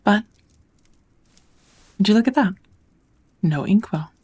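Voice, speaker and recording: A woman playing a kind, patient witch who teaches magic in an ASMR roleplay, speaking softly and often in a whisper, in a gentle, measured, encouraging way. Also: mid-range voice, expressive. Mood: patient, explaining. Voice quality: soft, breathy, often whispered.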